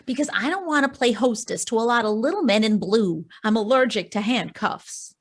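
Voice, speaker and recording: An actress playing a sardonic, slangy American female crook in an old-time radio crime drama, quick with sarcastic wisecracks. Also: fairly high pitch, slightly rough. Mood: sarcastic, bitter. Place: performed from home on a video call.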